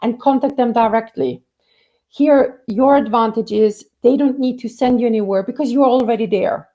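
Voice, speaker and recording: Mellow-voiced woman giving career advice. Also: fairly high pitch, moderate pace, highs cut off. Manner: conversational, frequent fillers and restarts. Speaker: career coach.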